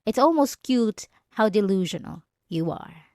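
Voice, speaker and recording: A woman's voice: mid-range voice, lively intonation, measured pace, a little mellow, no room sound, clean studio sound. A young woman playing a jealous, condescending yandere student bully in an ASMR roleplay, speaking straight to the listener with sarcastic, taunting emphasis. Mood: controlling, menacing.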